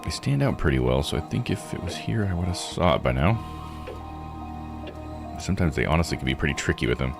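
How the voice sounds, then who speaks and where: A man's voice: extremely low pitch, very animated, fairly quick, vocal fry, pauses often, music in the background. A man giving casual, rambling live commentary on a game, explaining and thinking aloud as he plays. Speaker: streamer playing and recapping a visual novel.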